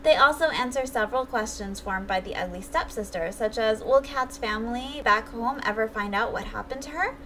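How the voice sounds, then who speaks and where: A woman's voice: fairly high pitch, normal speed, few pauses, pushed and bright, slight background noise, low-quality recording. A woman narrating a scripted review as a channel host, speaking articulately in full, read-aloud sentences.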